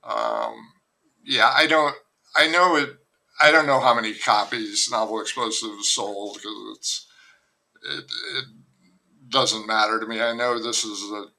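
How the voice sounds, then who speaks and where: A man speaking slowly in a gravelly, very bright voice. He talks as an author in a casual interview, hesitant and rambling, often restarting his thoughts. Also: lowish voice, animated. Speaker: novelist guest.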